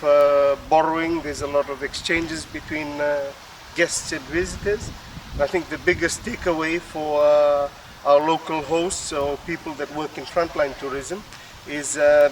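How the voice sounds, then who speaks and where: A man with a Fijian accent explaining things as an interview guest, hesitantly, with many pauses. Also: on the high side, fairly bright tone. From Fiji. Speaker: interview guest, PhD researcher. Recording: distant mic with faint urban noise.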